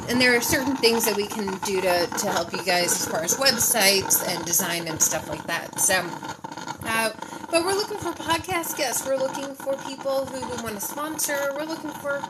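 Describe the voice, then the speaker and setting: A woman's voice: high voice, normal speed, heavy background noise. A woman with a head cold, sniffly, chatting casually and rambling to viewers on a live stream as a podcast host. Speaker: podcast host and mental health mentor.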